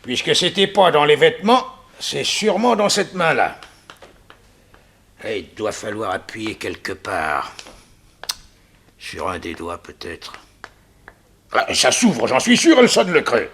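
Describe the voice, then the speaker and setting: Vintage radio gangster: slangy, cocky, bright, very lively intonation. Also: male voice, medium pitch, unhurried, long pauses, a little hiss or hum, exaggerated voice. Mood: smug, intent. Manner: slangy, offhand, animated. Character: cocky, chuckling, slangy crook. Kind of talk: 1960s French radio serial acting.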